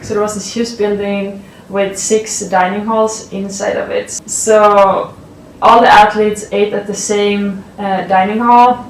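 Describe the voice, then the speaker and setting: A woman in her twenties from Sweden with a Swedish accent, giving relaxed interview answers full of fillers as a college athlete. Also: mid-range voice, a little slow, recorded from a distance, a little hiss or hum. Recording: roomy, off-mic, faint ambience.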